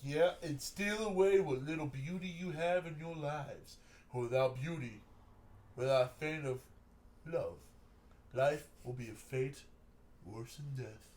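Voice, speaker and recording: A man's voice: a little high, measured pace, natural voice. A man reading as a theatrical drunk poet. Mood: brooding. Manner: theatrical, swinging from hushed to shouting. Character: drunk young male poet orating to a tavern. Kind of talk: amateur script read-through.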